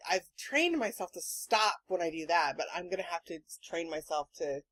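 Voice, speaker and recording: A woman hosting a podcast in casual chat, telling rambling stories in an animated, sweary way. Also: on the low side, moderate pace. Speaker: podcast host.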